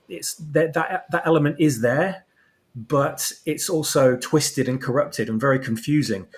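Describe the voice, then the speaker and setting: A man talking as an interview guest and writer, explaining his ideas thoughtfully and searching for the right words. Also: a little high. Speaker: guest, fan fiction writer.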